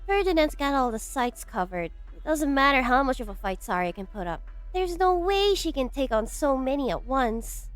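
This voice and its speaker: A woman voicing a playful, excitable scientist director in an amateur fan dub, talking in an animated, teasing way. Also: high-pitched, normal speed, no room sound, faint music behind. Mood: flustered.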